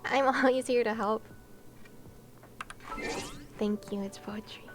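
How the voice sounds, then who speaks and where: A woman's voice: a little high, steady tone, takes it slowly, music in the background. A woman livestreaming and chatting casually with her viewers, giggly and playful, with gushing exclamations and sing-song asides. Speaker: live streamer.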